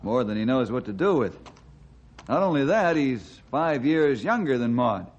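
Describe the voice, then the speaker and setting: An actor playing a steady, friendly, folksy forest ranger in an old-time radio drama. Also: male voice, mid-range voice, normal speed, lightly acted. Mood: easygoing. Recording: muffled, noisy old radio recording.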